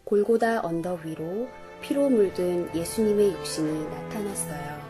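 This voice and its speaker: A woman narrating a children's Bible storybook in a gentle, polite storytelling manner. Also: lowish voice, moderate pace, over music.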